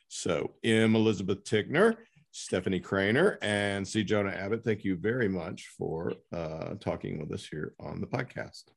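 A middle-aged man hosting a casual interview as an editor, talking in a rambling, halting way. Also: deep voice, creaky. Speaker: podcast host, book editor.

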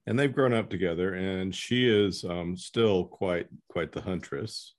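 A man with a fairly low voice hosts a casual interview. He feels his way into questions, stopping and rewording them as he talks in a conversational style. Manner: halting, rephrasing questions mid-sentence. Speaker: podcast host interviewing an author.

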